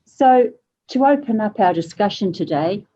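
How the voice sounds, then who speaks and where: A woman's voice: medium pitch, expressive, moderate pace, dark tone. An older woman, formally introducing a panel discussion over a video call. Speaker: panel moderator. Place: small indoor room. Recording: dull lo-fi video call audio.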